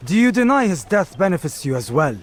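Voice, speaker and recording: An actor playing a bitter, disdainful keeper of an assassins' bureau in a video game, formal and scornful in manner. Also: male voice, high voice, big pitch swings, brisk.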